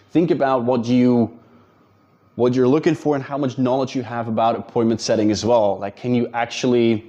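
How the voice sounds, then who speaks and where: Dutch-accented male coach, informal straight talk, warm-dark voice, a little lo-fi. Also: mid-range voice. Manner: direct, informal, addresses viewers as guys. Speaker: business coach. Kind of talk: casual talking-head advice video.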